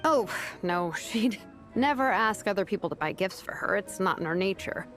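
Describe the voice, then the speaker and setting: A woman voicing a dry, practical young craftswoman in a video game, talking in a wry, deadpan way. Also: medium pitch, moderate pace, faint music behind, lightly acted. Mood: fond.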